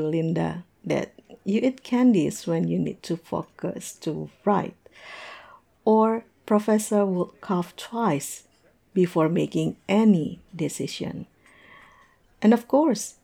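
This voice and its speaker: A tipsy, observant female coworker acted by a woman muses and teases over drinks in an audio roleplay. Her voice is dark and mellow, with plenty of gravelly fry. She takes her time, speaking in a halting, unhurried way. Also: on the low side, exaggerated voice. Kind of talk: intimate audio roleplay, conversational monologue.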